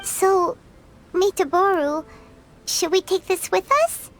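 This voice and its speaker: Tiny, very squeaky fairy girl. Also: steady tone, takes it slowly, exaggerated voice. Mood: concerned, gentle. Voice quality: squeaky, childlike. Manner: cutesy, speaks of herself in third person. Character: tiny childlike female fairy companion, naive, caring. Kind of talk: cartoonish video game voice acting.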